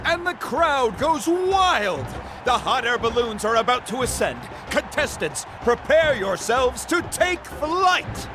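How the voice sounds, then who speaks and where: High-pitched, showy arena announcer. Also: male voice, big pitch swings, over music, heavily acted. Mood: excited. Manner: projected, theatrical, drawn-out endings. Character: booming, showy tournament announcer, energetic and formal. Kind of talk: arena announcing in a video game.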